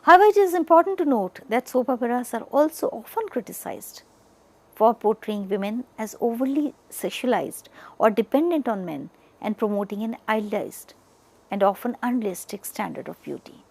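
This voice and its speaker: A woman giving an educational lecture, partly reading from notes, speaking deliberately in short, emphatic chunks. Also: a little high, expressive, a little mellow, rough recording.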